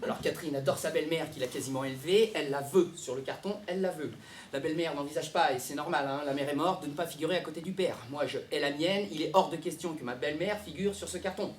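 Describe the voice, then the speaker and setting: An actor playing an exasperated, conflict-averse bridegroom in a theatrical monologue, talking fast and stammering in a roomy space. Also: male voice, a little high, slight background noise, close to an everyday voice. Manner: stammering, hesitant, rapid-fire. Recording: roomy, echoey sound.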